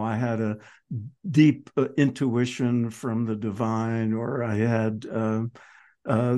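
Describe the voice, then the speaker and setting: A man hosting an interview, asking thoughtful questions in deliberate, halting short phrases. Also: mid-range voice, warm tone. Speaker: interview host.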